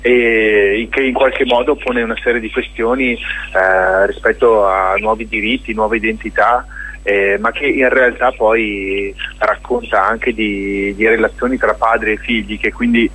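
A man's voice: lowish voice, fairly even intonation, a little slow, fairly bright tone, slightly rough, low-quality recording. A man being interviewed on radio as a theatre director, reflective and hesitant as he searches for words while explaining his work.

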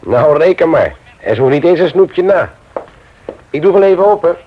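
A man's voice: on the high side, animated, normal speed, a little hiss or hum, lightly acted. A man playing a genial, teasing husband and father in a radio play. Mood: gentle, fatherly. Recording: dull old radio recording.

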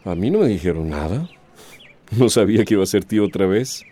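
An evasive Central American family man, with a deep, dark voice that moves widely in pitch. He sounds like a reluctant grown son in a radio serial. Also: sing-song, brisk. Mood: surprised, reluctant. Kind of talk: radio drama acting.

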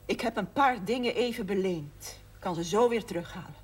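An actress playing a proud, dutiful mother in her sixties in a naturalistic television drama, with a husky voice. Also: medium pitch, moderate pace, lightly acted. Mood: defensive, firm. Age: 60s. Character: proud, dutiful mother of sixty-six, stubbornly practical.

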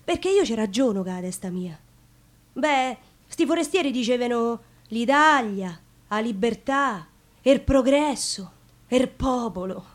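High-voiced, outspoken Roman woman played by an actress. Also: expressive, measured pace. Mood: proud, frank. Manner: plain-spoken, colloquial, confiding. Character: outspoken, devout, working-class Roman woman of the nineteenth century. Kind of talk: theatrical dialect monologue.

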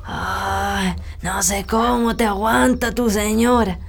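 An actress playing a bossy, superstitious country healer in a radio drama, admonishing and ominous, with a rural Chilean accent. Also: female voice, mid-range voice, steady tone, takes it slowly, vocal fry. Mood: scolding, caring.

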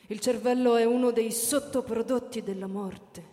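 A woman acting in a radio drama as a serene immortal alien, reciting in a measured, solemn and deliberate way. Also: fairly high pitch, fairly even intonation, warm tone, close to an everyday voice. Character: serene immortal alien speaking for a deathless race. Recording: echoey hall reverb with music behind.

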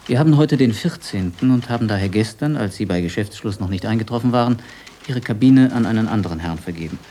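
An actor playing a cold, formal shipping office manager in a radio drama, speaking in a businesslike way. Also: male voice, lowish voice, animated, fast talker, mellow, a little hiss or hum, lightly acted. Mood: cold, matter-of-fact.

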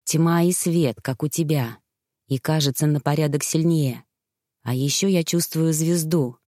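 Woman doing a serious young husband guy, lowish voice, measured, fantasy audiobook, very dry. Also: mellow, no echo. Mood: earnest. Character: earnest, easily exasperated young husband, a fantasy hero.

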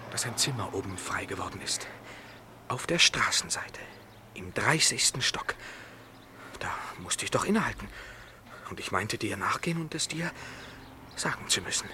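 A man's voice: fairly low pitch, expressive, unhurried, pauses often, in a whisper. An actor playing an impassioned, possessive young man who speaks with commanding intensity in a radio play. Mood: urgent, hushed. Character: impassioned, possessive young male lover Jan. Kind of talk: stage-trained radio drama acting. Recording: 1971 radio play, noisy ambience.

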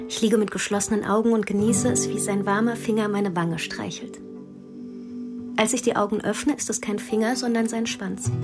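A troubled young woman played by an actress speaks in a radio drama monologue. Her mid-pitched delivery is intimate and held back, with clearly audible guitar music behind it. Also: natural voice.

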